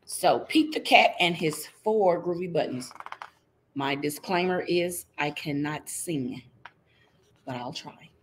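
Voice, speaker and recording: Slow-paced woman reading to young children. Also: low-pitched, expressive, a little hiss or hum. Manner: slow, teacherly, checks in with listeners with questions. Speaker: read-aloud storyteller for young children. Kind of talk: interactive children's picture book read-aloud. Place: indoors in front of a green screen.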